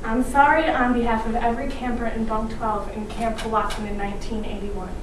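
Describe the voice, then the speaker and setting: A young woman performing scripted ensemble storytelling on stage, with a theatrical, deliberate delivery. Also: fairly high pitch, steady tone. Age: young adult. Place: live stage performance in a hall. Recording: echoey hall from far off, noisy.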